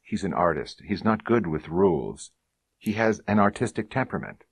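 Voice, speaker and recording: A man plays a small, trusting wife in a hardboiled detective story. The voice is earnest and anxious, lifted a little but still low, in a dry recording. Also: sing-song, moderate pace, breathy edge, dry recording, exaggerated voice. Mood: defensive. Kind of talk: hardboiled detective audiobook dialogue.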